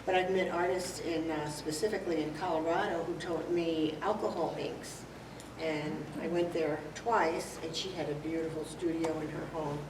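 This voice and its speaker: A woman's voice: low-pitched, steady tone, moderate pace. A woman introducing herself at a club meeting in a chatty, storytelling way. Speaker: new member introducing herself. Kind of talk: casual self-introduction. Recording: echoey room, distant mic, noise.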